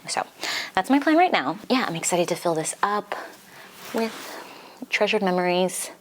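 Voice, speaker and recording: A woman's voice: mid-range voice, animated, normal speed, a little hiss or hum. A young woman chatting informally and giggly in a casual vlog, saying "like" often, her voice slightly congested from getting over the flu. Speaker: book vlogger.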